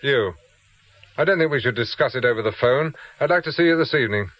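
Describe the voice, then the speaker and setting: An actor playing a British investigator in a radio drama, asking short, probing questions with controlled insistence. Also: male voice, mid-range voice, lively intonation, quick pace, fairly bright tone, natural voice. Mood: firm, insistent. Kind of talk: naturalistic radio drama acting.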